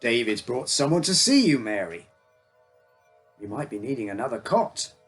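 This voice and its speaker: A secretive, apologetic father, as a man reading a story plays him talks to his young son like a mate. The voice is husky and medium in pitch, confiding in manner, in an uncanny storybook reading. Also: big pitch swings, normal speed, a bit bright, soft background music, full character voice. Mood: coaxing. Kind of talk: character dialogue in a read story.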